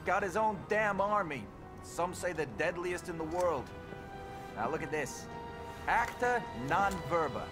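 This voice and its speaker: A man's voice: lively intonation, unhurried. An actor playing a nervy, talkative young soldier who eagerly shows off what he knows, in a video game. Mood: know-it-all. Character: chatty, nervy, know-it-all young soldier. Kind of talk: video game military comedy. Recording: music and helicopter rumble behind.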